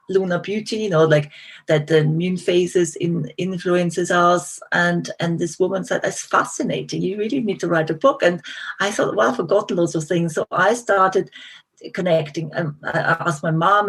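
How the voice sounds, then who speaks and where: A middle-aged woman from Austria with an Austrian German accent, a guest telling her story in a relaxed interview with many small restarts and fillers. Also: lowish voice, breathy edge. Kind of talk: interview conversation.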